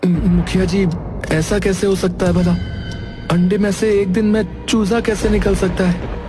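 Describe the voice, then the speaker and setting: A simple young village boy from a children's cartoon, voiced by a man. His voice is very high, guileless and pleading, with music clearly behind it. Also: little pitch movement, measured pace. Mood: worried, pleading. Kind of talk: Hindi children's cartoon voice acting. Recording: prominent music bed under voice.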